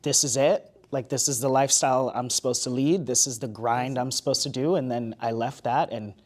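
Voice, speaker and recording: A man's voice: mid-range voice, steady tone, brisk, a little mellow. A man in his twenties from Florida, talking in an easygoing, casual way and laughing often. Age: 20s. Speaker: young adult interview participant. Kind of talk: casual conversation.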